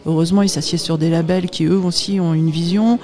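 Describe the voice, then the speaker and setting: A woman's voice: fairly low pitch, fairly even intonation, fast, darkish tone. A middle-aged woman from Paris with a French accent from France, giving candid, informal, affirming answers as a local politician in a conversational interview. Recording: live event with crowd behind. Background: crowd chatter.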